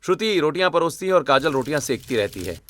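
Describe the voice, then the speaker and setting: A man's voice: a little high, big pitch swings, quick pace, fairly bright tone, soft background music. A man narrating a scripted cartoon story.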